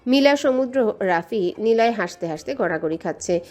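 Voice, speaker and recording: A woman's voice: medium pitch, expressive, normal speed, darkish tone. A woman reading a scripted romantic story as its narrator.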